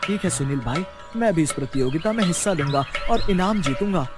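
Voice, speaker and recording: A man plays a foolish, eager village husband in a cartoon tale. His voice is high for a man, earnest and simple-minded, with music playing behind. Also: lively intonation, brisk, natural voice. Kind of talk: Hindi cartoon moral story acting.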